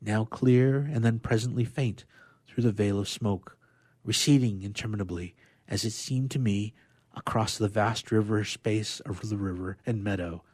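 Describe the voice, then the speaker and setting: Dramatic male reader with a lowish voice. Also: moderate pace, darkish tone, no echo. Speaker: radio player reading literature aloud. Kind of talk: dramatic reading of classic science fiction.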